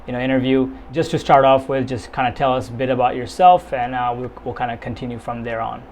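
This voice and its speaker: A man's voice: mid-range voice, steady tone, quick pace, darkish tone, slight background noise. A young man hosting an interview, asking questions in a friendly, loose way with fillers like "kinda" and "you know". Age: young adult. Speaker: interview host. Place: small studio room with plain wall.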